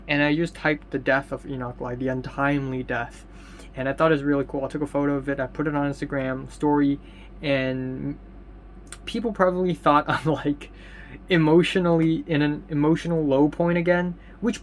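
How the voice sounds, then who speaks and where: Young man rambling in a slightly raspy voice. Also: on the high side, slight background noise. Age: young adult. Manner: rambling, with many ums and restarts. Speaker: vlogger. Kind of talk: casual video diary.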